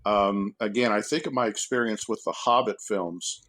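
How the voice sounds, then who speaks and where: Lowish-voiced man thinking aloud. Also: moderate pace, a bit bright. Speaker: guest scholar and commentator. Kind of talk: casual discussion.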